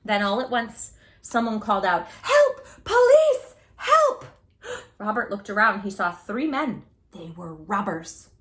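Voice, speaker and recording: A woman reading a children's picture book aloud as a storytime host, theatrically, with drawn-out words and little asides to the listener. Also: mid-range voice, bright, forceful tone.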